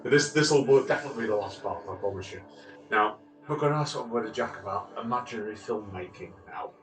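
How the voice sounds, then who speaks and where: A man's voice: on the low side, fast talker, fairly bright tone. A man hosting a podcast in a casual, rambling and jokey way, heard in an echoey recording some way from the mic. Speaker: podcast host. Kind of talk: casual, informal podcast chat. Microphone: some distance from the mic. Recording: echoey, distant mic, music behind. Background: music.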